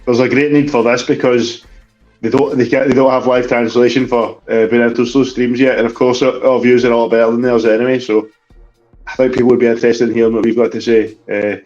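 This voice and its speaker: A man's voice: mid-range voice, level tone, fast, darkish tone, soft background music. A man co-hosting a football podcast in laid-back casual chat. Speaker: podcast co-host. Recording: dull lo-fi sound.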